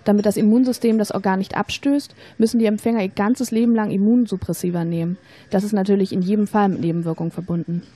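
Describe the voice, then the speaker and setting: A young woman playing an informed, persuasive student in a scripted educational audio drama, explaining things in a measured, rehearsed way. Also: mid-range voice, fairly even intonation, warm tone, slightly rough, natural voice. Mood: matter-of-fact, explanatory. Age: young adult. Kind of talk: scripted educational radio-play dialogue.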